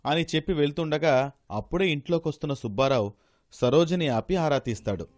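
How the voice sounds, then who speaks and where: A man's voice: a little high, brisk, soft background music. A man narrating a scripted story as a storyteller.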